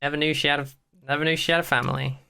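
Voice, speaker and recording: A man's voice: fairly high pitch, flat delivery, very fast, vocal fry, bright, forceful tone, clean tone. A man co-hosting a podcast, chatting in an informal, dryly flippant way. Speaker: podcast co-host. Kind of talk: casual chat about a TV series.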